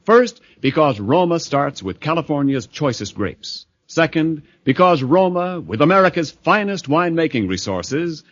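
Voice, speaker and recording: A man's voice: fairly high pitch, dry recording. Male commercial announcer, old-time radio: polished, swooping sales delivery, dry lo-fi sound. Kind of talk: 1940s radio advertising pitch. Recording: dull, dry vintage radio recording.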